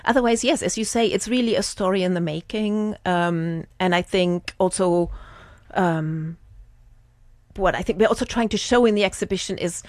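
A woman's voice: fairly low pitch, moderate pace, darkish tone, no echo, clean studio sound. A woman with a German accent, a museum curator speaking with enthusiasm and many ums in a radio interview.

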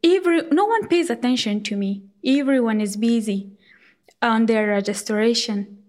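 A young woman from Afghanistan telling her own life story in an earnest, halting way, with frequent fillers. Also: on the high side, darkish tone. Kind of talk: personal storytelling.

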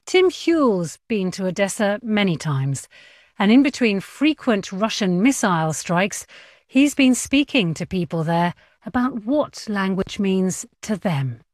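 A woman presenting a radio programme, reading scripted introductions to news dispatches in a deliberate way. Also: mid-range voice, very animated, no echo, clean studio sound.

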